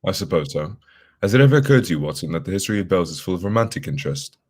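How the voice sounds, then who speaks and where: A cerebral detective played by a man in a radio mystery, husky and fairly low. He rattles off his lines quickly, with a dry, condescending, sardonic edge. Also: very animated, darkish tone. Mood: dry, musing. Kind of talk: old-time radio drama acting.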